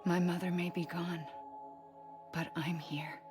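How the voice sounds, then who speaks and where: Woman playing gentle ageless game heroine, slow breathy whisper, lowish voice, music behind. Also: flat delivery, natural voice. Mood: soft, wistful. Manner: tender, unhurried. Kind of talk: video game cutscene acting.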